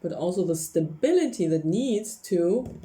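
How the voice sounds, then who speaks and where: Woman, mellow voice, chatty tarot reading, laughs a lot. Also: fairly low pitch, animated, measured pace. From Poland. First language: Polish. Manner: chatty, laughs often, gropes for English words. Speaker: tarot reader. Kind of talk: casual tarot reading to camera.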